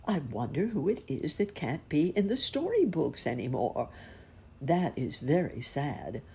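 A woman reading aloud and voicing a polite, kindly old rabbit gentleman in a gentle manner. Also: low voice, big pitch swings, a little slow, vocal fry, a little hiss or hum, exaggerated voice.

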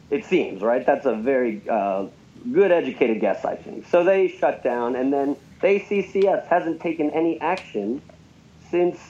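A man being interviewed about his bar, explaining in a measured, hedging way. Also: mid-range voice, darkish tone. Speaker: bar owner and guest. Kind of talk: interview answers. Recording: muffled remote line with faint noise.

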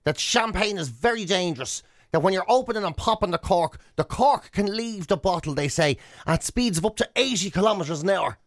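Animated, high-pitched Irish man bantering. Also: brisk, few pauses, no echo, studio quality. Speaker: radio show co-host. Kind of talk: comic casual banter.

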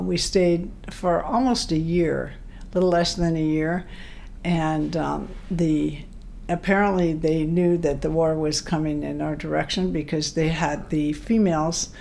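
A woman in her eighties from Hawaii with an American accent, recalling her life as an interview guest in an unhurried, hesitant way. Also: lowish voice, a little mellow. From Hawaii, United States. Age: 80s. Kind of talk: unscripted reminiscence. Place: hotel room. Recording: clear background noise, room ambience.

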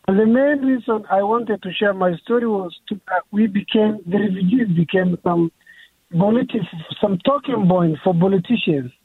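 A young man talks earnestly as a radio guest. He often restarts his phrases and speaks in a fairly high voice. His voice comes through a tinny, husky phone line. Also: moderate pace, no room sound. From Somalia. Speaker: author guest. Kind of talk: radio interview.

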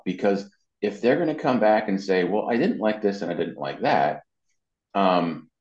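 A man with a low-pitched voice talks as an interview guest, explaining things in an informal, conversational way. The recording is dull and lo-fi, with a strong roomy echo around his words. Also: a little mellow. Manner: informal, explanatory, often checks in with "you know". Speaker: interview guest, marketing and web consultant. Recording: muffled lo-fi sound, roomy echo.

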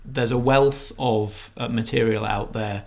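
A man's voice: mid-range voice, steady tone, a little slow, gravelly, a little mellow. A man co-hosting a podcast, discussing things casually in a considered, articulate way. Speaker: podcast co-host.

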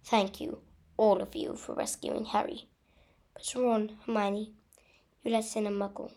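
Storybook old male headmaster wizard, stern, calm, formal, slow woman performing. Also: mid-range voice, lightly acted. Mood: measured, reproving. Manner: formal, measured, firm.

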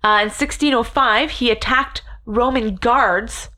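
A woman's voice: on the high side, measured pace, sharp tone. Chatty, jokey woman. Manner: rambling, jokey, swears and laughs. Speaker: podcast co-host. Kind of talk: casual storytelling chat.

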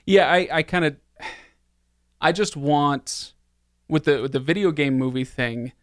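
A man's voice: on the high side, unhurried, dry recording. Rambling man in dry-sounding casual film talk. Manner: rambling, self-interrupting. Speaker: podcast co-host.

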